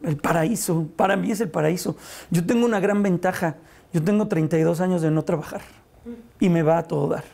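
Mexican newsman in his 50s, steady earnest talk, lapel mic in a tv studio, mellow voice. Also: sits high, gravelly. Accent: Mexican Spanish. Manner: measured, earnest, with filler pauses. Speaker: radio and TV news anchor. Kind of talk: sit-down interview testimonial. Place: TV studio set, indoors.

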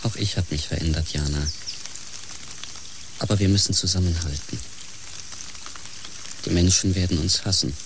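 A man's voice: deep voice, normal speed, long pauses, background noise. An actor playing an earnest, protective man speaking with conviction in a science-fiction radio drama. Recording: loud rain behind the voice. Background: rain.

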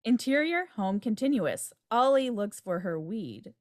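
A woman's voice: fairly high pitch, sing-song, unhurried, darkish tone. A woman reading scripted stage directions at a table read over a video call. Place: indoor room, video call. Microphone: video call microphone.

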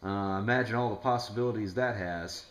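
A man's voice: lowish voice, brisk. A man chatting casually over the radio as an amateur operator, explaining things in a rambling way. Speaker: ham radio operator making a video. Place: indoors in a home room. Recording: echoey room.

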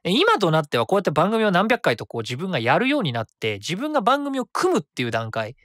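Fast-talking man hosting a web radio show. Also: high-pitched, huge pitch swings, a bit bright, no room sound, clean studio sound. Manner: rapid, chatty, easy to follow. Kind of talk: casual solo radio talk.

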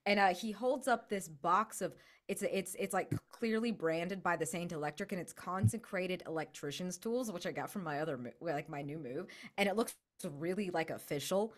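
A woman's voice: lowish voice, moderate pace, hardly any pauses. A young woman talking casually as a player in a tabletop game. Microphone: large desk microphone, video call.

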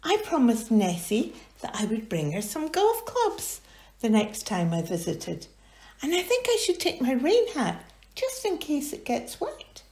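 Clumsy winged girl, high and fluttery, dithering, slow, a woman reading it out. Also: wildly swooping pitch, darkish tone, reverberant, heavily acted. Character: clumsy, scatterbrained winged female friend.

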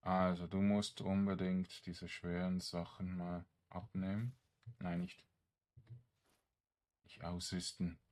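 A man giving casual gameplay commentary, thinking aloud in short, halting phrases. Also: sits low, flat delivery, a little mellow. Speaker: let's play streamer.

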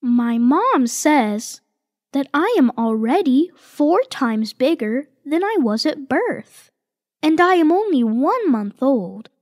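A woman reading a children's picture book aloud, slow and expressive. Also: high voice, no room sound, studio quality. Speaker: reader voicing tiger cubs.

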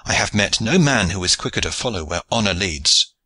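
Brief: man doing an eager old knight for an audiobook, earnest, given to high excited cries, crisp bright tone. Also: a little fast, full character voice. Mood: hearty. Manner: exclaiming, high-pitched outbursts.

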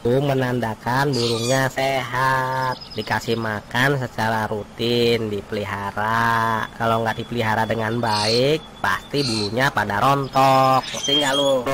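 Cartoon villager played by a man: mid-pitched bird owner, emphatic, colloquial and fond of lecturing. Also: flat delivery, a little slow, few pauses, noisy background, close to an everyday voice. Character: self-assured, scolding village bird owner. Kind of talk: comic cartoon dialogue.

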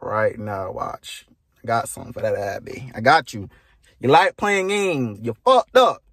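A man's voice: mid-range voice, lively intonation, measured pace, a bit bright, slightly rough, no room sound. Young American man vlogging, dry close sound. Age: young adult. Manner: slangy, playful, boastful. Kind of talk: casual chat to camera. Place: inside a parked car, later a bedroom. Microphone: phone at arm's length.

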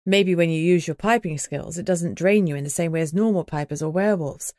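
A woman's voice: lowish voice, brisk, darkish tone, dry recording, studio quality, lightly acted. A woman voicing a proud, battle-ready female wolf spirit in an audiobook, assured and reassuring. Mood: thoughtful.

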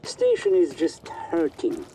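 A man playing a sinister station cook in a video game, speaking in halting, clipped phrases. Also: on the high side, wildly swooping pitch, heavy background noise, heavily acted. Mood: halting, uneasy.

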